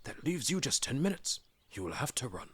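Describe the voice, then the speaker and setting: Storybook old wizard, acted by a male narrator: brisk, bossy, exclaiming, breathy, lifted above a deep register. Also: very animated, fairly bright tone, exaggerated voice. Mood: urgent.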